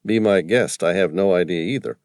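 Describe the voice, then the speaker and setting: A man voicing a wry, calm investigator. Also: low-pitched, a little fast, no echo. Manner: dry, understated.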